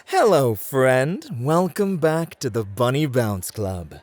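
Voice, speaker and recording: Man playing a smooth, flirty club host, lively intonation. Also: medium pitch, slow pace, no room sound. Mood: welcoming, charming. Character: smooth, flirty, confident male club host mentoring a rookie. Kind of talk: scripted ASMR roleplay acting.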